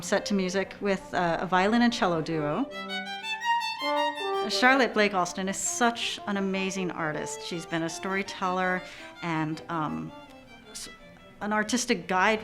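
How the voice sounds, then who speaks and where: A woman musician speaking reflectively in an interview, thoughtfully searching for her words. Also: medium pitch. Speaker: orchestra musician. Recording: prominent music behind the voice. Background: string music.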